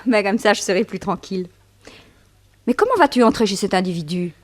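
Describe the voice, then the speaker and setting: Woman acting a quick-talking anxious young companion. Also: medium pitch, animated, a little hiss or hum, lightly acted. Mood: concerned, questioning. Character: anxious, inquisitive young female companion of a detective. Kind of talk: French radio serial acting.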